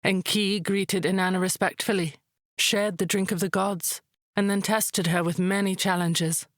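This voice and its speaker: A woman playing a calm, wise high priestess who tells myths in a measured, solemn way, as in a visual novel. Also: dry recording, studio quality, lightly acted. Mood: solemn, reverent.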